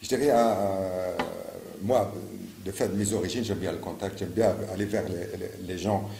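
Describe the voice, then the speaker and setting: A man's voice: low voice, steady tone, normal speed, vocal fry, noisy background. A man in his fifties from Morocco, a local election candidate answering interview questions with many fillers and restarts. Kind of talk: campaign interview. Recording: echoey room with faint ambience.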